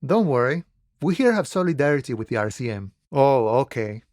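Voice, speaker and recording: An actor playing an easygoing, wily striking dockworker in a video game, with folksy cowboy swagger and a theatrical, friendly drawl. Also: male voice, mid-range voice, very animated, a little fast, darkish tone, dry recording, clean studio sound, lightly acted. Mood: smooth, friendly. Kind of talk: video game character dialogue.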